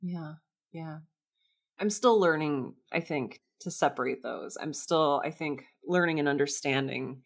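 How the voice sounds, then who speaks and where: Low-pitched woman, conversational host. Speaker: podcast host. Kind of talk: casual interview chat.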